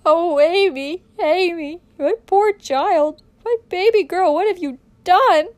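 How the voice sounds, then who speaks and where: Distraught, submissive mother for a storybook, older woman, high-pitched, pleading, wailing. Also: slow pace, a little mellow, no echo. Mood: grief-stricken.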